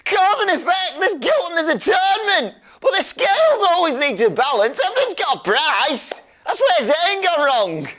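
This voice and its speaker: A woman puts on a mock-grave video game character, pitched high. The lines come out exaggerated and declamatory, swooping with drama. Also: sing-song, normal speed, few pauses, fairly bright tone, heavily acted. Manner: exaggerated, declamatory quote reading.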